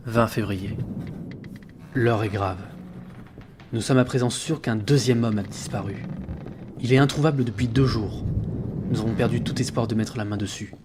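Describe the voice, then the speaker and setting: An actor playing a troubled, earnest sailor who reads out his logbook in a grave, measured way for an audio drama. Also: fairly low pitch, background noise, lightly acted. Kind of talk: dramatic diary narration in French audio fiction.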